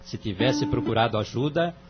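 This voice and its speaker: A Brazilian man playing a calm, wise family-court judge in an audio drama, speaking in a formal, measured, moralizing way. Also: medium pitch, lightly acted. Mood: firm, counselling. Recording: dull lo-fi audio, music underneath.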